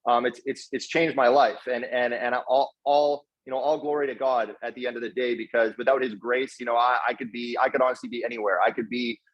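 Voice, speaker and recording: A man's voice: mid-range voice, steady tone, brisk, few pauses. A man giving an informal motivational talk in an earnest, slangy way, often doubling back on his words. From Canada, partly raised in South Africa. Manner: earnest, effusively grateful, slangy bro-talk with repeated words. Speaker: guest speaker, network marketer. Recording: dull, lo-fi online call audio.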